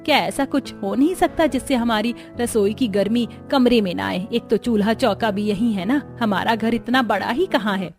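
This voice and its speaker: A woman's voice: fairly high pitch, normal speed, few pauses, a little mellow, faint music behind, natural voice. A woman playing a kindly middle-aged village mother-in-law in a cartoon, homely and affectionate. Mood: concerned. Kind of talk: Hindi cartoon story dubbing.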